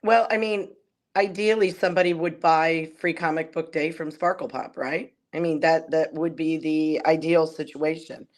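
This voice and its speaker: A woman whose voice is going hoarse, chatting informally as an industry guest on a panel. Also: sits low, steady tone, normal speed. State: losing her voice, hoarse. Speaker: guest, comics distributor executive. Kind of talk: casual panel discussion.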